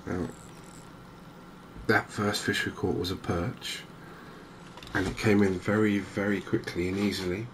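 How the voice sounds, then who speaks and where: A soft-spoken man giving hesitant, measured ASMR-style commentary as host of a gaming video. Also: low voice. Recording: clear steady background noise.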